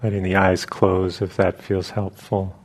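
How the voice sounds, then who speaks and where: A man leading a guided meditation as a teacher, speaking in short, halting phrases with long pauses between them. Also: low voice, level tone.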